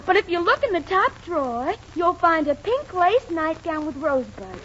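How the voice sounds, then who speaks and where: A woman's voice: very high-pitched, big pitch swings, normal speed, fairly bright tone, heavily acted. An actress playing a prim, girlish young sweetheart in 1940s radio comedy style. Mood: matter-of-fact. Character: prim, girlish, youthful sweetheart, scolding and naive. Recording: muffled, noisy 1940s radio recording with audience.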